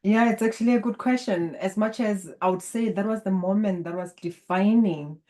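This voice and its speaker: A woman from Botswana with a Botswana accent, a native Setswana speaker, speaking as an interview guest and energy healer in a calm, measured, spiritual way. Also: mid-range voice. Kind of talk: interview conversation.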